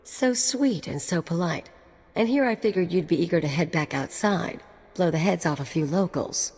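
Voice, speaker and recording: A low-voiced, husky female enforcer in a game cut-scene, sultry and mocking as she toys with a newcomer. A long reverb trails her lines. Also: animated, moderate pace, a little mellow. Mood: teasing. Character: flirtatious, dangerous female security chief. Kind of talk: video game voice acting.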